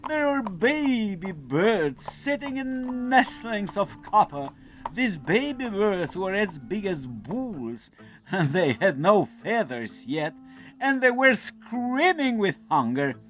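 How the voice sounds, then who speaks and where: A man narrating a scripted children's fairy tale in a dramatic, deliberate storytelling manner. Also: high voice, very animated, a bit bright. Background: music.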